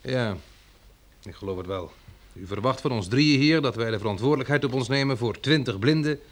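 An actor playing a shrewd farmer and husband in a Dutch radio drama, speaking in a measured, persuasive, slightly husky voice. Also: male voice, medium pitch, lightly acted. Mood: guarded, compliant.

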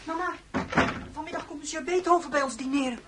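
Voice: sits high, fairly even intonation, fast talker, very noisy, close to an everyday voice. An actor playing a curious, eager small boy who asks questions in a radio drama. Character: curious small boy, the narrator's young son.

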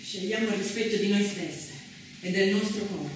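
A woman's voice: mid-range voice, moderate pace, background noise, natural voice. An actress playing a wronged woman in a theatre monologue about love turned violent, declamatory and emotionally intense. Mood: solemn, resolute. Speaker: stage actress. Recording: echoey distant stage recording with loud music.

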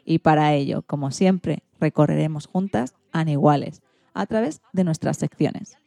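A woman with an accent from Spain presents a radio show from a script. Her voice is fairly low and soft-edged, recorded very dry and close. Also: normal speed, creaky, dry recording. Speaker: radio program host.

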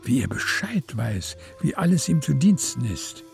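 Mellow, gravelly male radio narrator. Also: mid-range voice, sing-song, measured pace, soft background music. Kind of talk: scripted literary radio drama narration.

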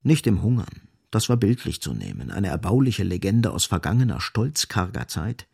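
An actor as the sardonic narrator of a literary radio play, gravelly and husky, speaking with ironic, dramatic emphasis. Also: male voice, low voice, big pitch swings, moderate pace, darkish tone, full character voice.

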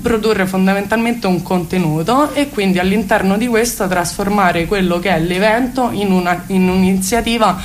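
A woman's voice: mid-range voice, moderate pace, few pauses. A woman explains things as a radio interview guest, discursive and often rephrasing herself. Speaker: guest representing a cultural collective. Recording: faint background noise and ambience.

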